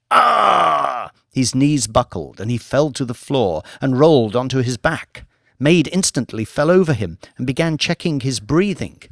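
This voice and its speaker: A man reading an audiobook in a measured, expressive narration. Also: mid-range voice, vocal fry, no echo, clean studio sound. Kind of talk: scripted narration.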